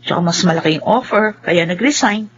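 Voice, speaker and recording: A woman's voice: lowish voice, brisk, slightly rough, muffled recording. A woman acting a sensible, easily exasperated office colleague in a radio drama, with a matter-of-fact manner.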